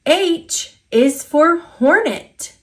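A woman with an American accent reading an alphabet picture book aloud for toddlers, enunciating simply and deliberately. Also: high voice, animated, fairly bright tone.

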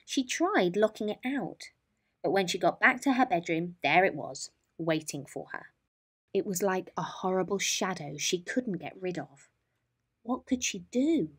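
A woman's voice: on the low side, expressive, measured pace, husky. A woman storyteller narrating a children's bedtime story.

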